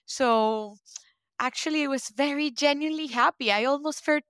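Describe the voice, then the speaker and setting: Bright, high-voiced woman with a Spanish-tinged accent. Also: normal speed, no room sound. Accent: Spanish-influenced. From Colombia. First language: German. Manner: warm, laughs often, repeats words while searching for phrasing. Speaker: podcast guest. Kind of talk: conversational interview.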